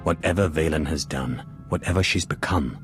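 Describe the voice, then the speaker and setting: A man playing a composed, resolute commander in a video game, with a measured, authoritative delivery. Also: a bass voice, soft background music. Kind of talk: video game hero acting.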